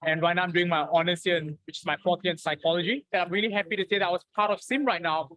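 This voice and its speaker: High quick-talking young man. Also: fairly even intonation, sharp tone, low-quality recording. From Singapore. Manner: chatty, filler "like". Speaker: student. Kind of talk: testimonial interview.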